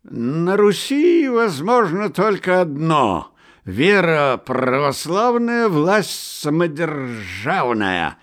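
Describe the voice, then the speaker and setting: A man voicing a drunk, swaying officer who shouts with slurred words. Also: on the high side, sing-song, very slow, pitched above the performer's own voice, exaggerated voice. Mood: drunken shout.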